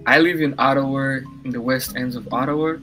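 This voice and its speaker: A man's voice: mid-range voice, steady tone, fast, over music, rough recording. Easygoing young Rwandan-accented man. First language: Kinyarwanda. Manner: easygoing, hesitant, many fillers. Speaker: podcast guest. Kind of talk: casual video-call chat. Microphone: headset.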